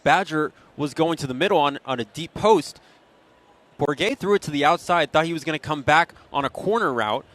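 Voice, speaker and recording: A man's voice: fairly high pitch, expressive, moderate pace, fairly bright tone. A man giving live football analysis as a color commentator, conversational and opinionated as he explains the plays.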